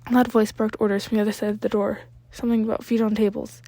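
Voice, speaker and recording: A woman narrates a piece of fiction in an amateur reading. Her mid-pitched voice has noticeable creak, and the sound is dry and close. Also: little pitch movement, fast, mellow, no echo. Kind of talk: scripted story narration.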